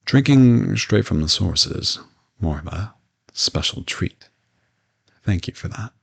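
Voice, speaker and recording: A man playing a gentle, courteous old vampire in an intimate audio roleplay, reassuring and lightly teasing as he speaks to the listener. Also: sits low, lively intonation, takes it slowly, vocal fry, breathy edge, heavily acted. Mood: conversational, friendly. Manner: soft-spoken reassurance, politely formal with teasing asides.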